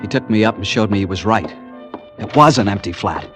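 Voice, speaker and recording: A man's voice: lowish voice, lively intonation, brisk, close to an everyday voice. An actor playing an anxious, insistent young man searching for his missing girlfriend, in old-time radio drama style. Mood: tense narrating. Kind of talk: old-time radio drama acting and first-person narration. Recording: vintage radio drama with background music.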